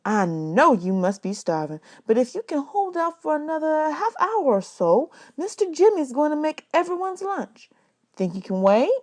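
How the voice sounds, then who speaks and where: A woman's voice: sits high, big pitch swings, moderate pace, voice pushed up. Woman acting a firm, protective, emphatic woman. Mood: teasing, kind. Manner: emphatic, indignant. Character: firm, protective woman scolding in defense of a child.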